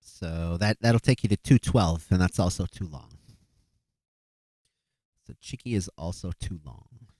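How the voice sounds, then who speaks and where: A man's voice: low voice, measured pace, long pauses, darkish tone, dry recording, studio quality. A man hosting a live stream, judging beatbox entries and thinking aloud with hesitant fillers and restarts. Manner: calculating aloud while reviewing clips. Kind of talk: casual live-stream commentary.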